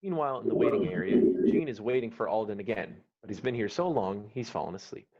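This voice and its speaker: Guy reading screenplay directions dramatically, kinda low voice, dull lo-fi call sound. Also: expressive, fast, crowd noise. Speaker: stage directions narrator. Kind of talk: dramatic scripted narration. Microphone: online call microphone. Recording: dull, lo-fi online recording.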